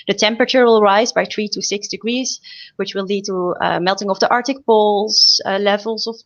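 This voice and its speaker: A young woman speaking as a guest researcher on an online panel, explaining informally with a halting delivery. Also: medium pitch, steady tone. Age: young adult. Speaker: guest, PhD student researcher. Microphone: video call. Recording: dull, lo-fi video call audio.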